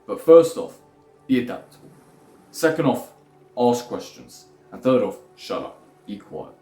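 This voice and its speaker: A man's voice: mid-range voice, sing-song, measured pace, dark tone. A young man lecturing bluntly in a rambling self-improvement monologue, swearing freely. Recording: echoey room with faint music.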